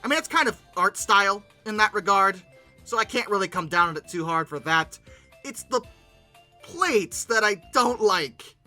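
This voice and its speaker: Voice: mid-range voice, animated, normal speed, pushed and bright, faint music behind. A host giving comedic commentary on pictures in informal, slangy talk, with exasperated, dramatic emphasis. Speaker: host of a paleoart commentary show.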